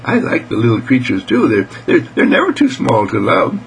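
A man's voice: mid-range voice, wildly swooping pitch, normal speed, creaky, slight background noise. A man voicing a sweet little girl in a bedtime story, earnest and full of exclamations. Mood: gushing, delighted. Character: sweet, gushing little girl who loves small creatures.

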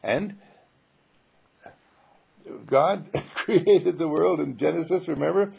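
A man giving an informal spiritual sermon full of rhetorical questions, emphatic and dramatic, with a husky, gravelly voice. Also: fairly high pitch, takes it slowly, darkish tone, highs cut off. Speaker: spiritual teacher.

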